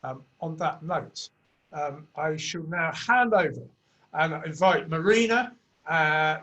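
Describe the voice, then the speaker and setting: A man's voice: a little high, fairly bright tone, husky, low-quality recording. A man welcoming viewers to an online festival panel in a chatty way, with many ums and uhs. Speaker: festival host.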